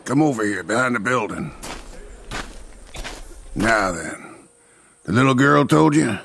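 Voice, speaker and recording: A man's voice: medium pitch, lively intonation, a little slow, vocal fry, a bit bright, slight background noise. A man playing a sly, greedy frontier peddler in a radio drama, wheedling and insinuating as he talks. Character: sly, greedy male frontier peddler, a smooth-talking swindler.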